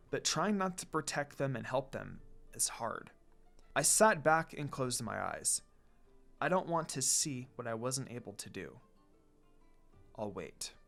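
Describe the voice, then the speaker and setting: A man's voice: mid-range voice, vocal fry, fairly bright tone. A man reading a fan-written story aloud as a dramatic first-person narrator. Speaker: fanfiction reader. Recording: background music under the voice. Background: music.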